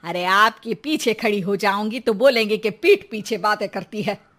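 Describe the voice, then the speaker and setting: A woman voicing a scolding, greedy middle-aged housewife in a cartoon, with an emphatic, sharp-tongued delivery. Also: mid-range voice, moderate pace, lightly acted. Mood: greedy, defiant. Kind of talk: animated cartoon dialogue.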